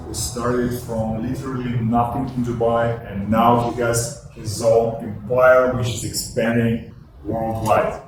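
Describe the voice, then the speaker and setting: A man hosting a show, delivering a prepared introduction of a guest. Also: mid-range voice. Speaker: show host. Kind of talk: scripted introduction to camera. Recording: very echoey, distant, music behind. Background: clear music.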